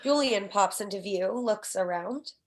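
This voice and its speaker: A woman serves as narrator for a script read. Her voice is average in pitch, and she delivers scene headings and action lines as scripted narration. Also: a little slow. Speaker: stage direction reader.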